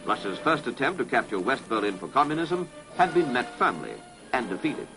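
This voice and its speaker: A British man delivers formal, authoritative documentary narration in the manner of an early 1960s information film. His pitch moves in a lively way, with music playing behind him on a vintage film soundtrack. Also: fairly quick, rough recording.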